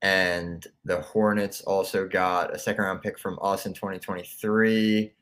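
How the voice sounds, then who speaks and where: A man's voice: deep voice, flat delivery, unhurried, a bit bright. A young college-age man co-hosting a casual sports podcast, laid-back and reading out trade details. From Philadelphia area. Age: young adult. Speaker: podcast co-host.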